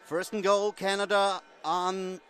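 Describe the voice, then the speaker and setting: A male commentator speaking English with a German accent, not his native language, calling a live football game and getting excited on the scores. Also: high voice, takes it slowly. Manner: excited, rising to shouts on scores. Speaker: sports commentator.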